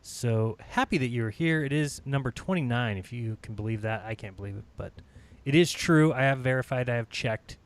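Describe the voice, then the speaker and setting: A man's voice: medium pitch, normal speed. A man hosting a live online panel chat, upbeat and chatty, laughing often, and feeling like he is losing his voice. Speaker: host.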